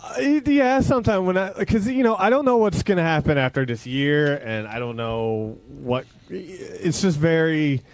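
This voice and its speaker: Casting: man, slightly high-pitched, hesitant and defensive in casual studio chat, very dry sound. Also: animated, dry recording. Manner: halting and hesitant, trailing off. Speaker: radio show staffer on a wrap-up show. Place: radio studio.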